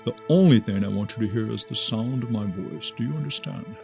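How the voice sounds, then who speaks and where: A man's voice: fairly low pitch, brisk, creaky, darkish tone, faint music behind. Man playing a careful, commanding hypnotherapist. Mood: firm, reassuring. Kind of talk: audio drama acting.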